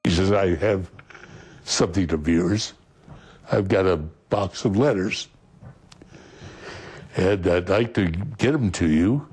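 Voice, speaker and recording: A man's voice: low-pitched, takes it slowly, long pauses, darkish tone, breathy edge. A man recounting a story in a news interview clip.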